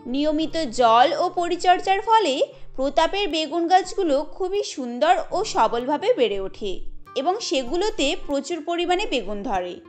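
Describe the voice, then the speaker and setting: Expressive woman narrating a cartoon story. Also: high voice, a little slow, soft background music.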